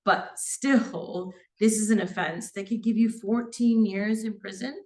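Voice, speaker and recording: A woman's voice: medium pitch, steady tone, measured pace, a little mellow, far from the mic. A woman in her forties, born in Sri Lanka, speaking as a criminal barrister at a seminar, unscripted, with many fillers and restarts. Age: 40s. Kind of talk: seminar talk. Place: seminar room.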